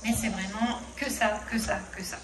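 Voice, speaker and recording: Female teacher-novelist, mid-pitched, emphatic and fluent; roomy, noisy venue. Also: normal speed. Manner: lively, emphatic, flowing. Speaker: schoolteacher and novelist. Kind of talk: festival interview. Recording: echoey room with noise.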